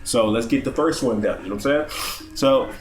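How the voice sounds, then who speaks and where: A man's voice: mid-range voice, normal speed, slight background noise. A young man with a cold, sniffling as he gives a casual, rambling, profane game review with lots of stammered restarts. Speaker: gaming YouTuber.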